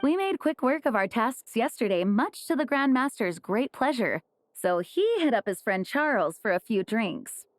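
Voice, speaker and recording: A woman's voice: fairly high pitch, animated, moderate pace, natural voice. A woman voicing a prim, tactful young female knight in an anime game, explaining things formally and precisely. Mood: composed, explanatory.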